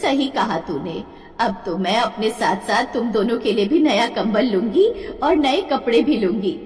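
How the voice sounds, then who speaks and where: A woman's voice: on the high side, animated, moderate pace, a little mellow, distant mic, close to an everyday voice. A woman voicing a poor, humble old village woman who speaks plainly and affectionately in a cartoon tale. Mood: pleased. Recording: echoey reverberant voice, faint noise.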